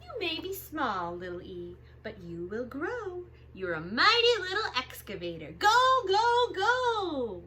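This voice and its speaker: Animated, high-pitched woman reading a storybook. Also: a little hiss or hum. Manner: expressive, drawn-out sound words, sing-song repetition. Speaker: storytime host reading to children. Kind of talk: animated picture-book read-aloud for young children.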